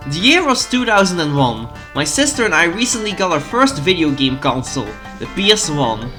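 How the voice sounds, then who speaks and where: Bright, lively young Dutch-native man. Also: high voice. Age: 20s. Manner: eager, fan-like. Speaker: YouTube host. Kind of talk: retro game commentary.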